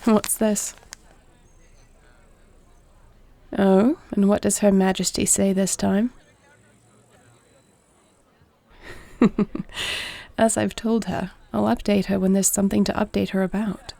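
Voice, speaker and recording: A woman playing a duty-bound, caring warrior princess in an audio roleplay, speaking to the listener in a formal, measured, creaky voice. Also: mid-range voice, little pitch movement, close to an everyday voice. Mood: composed, wry. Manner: formal, measured, soft-spoken speech.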